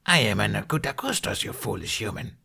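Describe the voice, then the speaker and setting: A man's voice: on the low side, sing-song, fairly quick, gravelly, bright, forceful tone, slightly rough, heavily acted. A man voicing a haughty, easily affronted female dragon with imperious, formal speech in an audiobook. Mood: indignant. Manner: imperious, indignant, formal diction.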